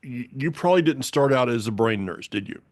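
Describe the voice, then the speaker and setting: A man's voice: medium pitch, animated, fairly quick, no echo, studio quality. A man with a Southern US accent hosting a casual faith conversation, folksy and meandering, with lots of restarts. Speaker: podcast host.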